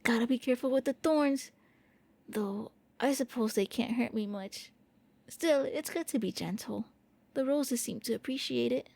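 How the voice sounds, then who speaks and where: Girl doing a gentle shy vampire prince, asmr roleplay, kinda high but soft n mellow, bit hesitant. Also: moderate pace, creaky. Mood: tender. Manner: gentle, hesitant, intimate, stammering when flustered. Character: gentle, shy, eager young-looking immortal vampire prince.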